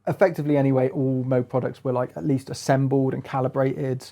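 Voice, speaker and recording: A man's voice: mid-range voice, little pitch movement, a little fast, warm tone. A man presenting a hands-on music gear review in a casual chat, feeling his way through phrases with lots of hedging.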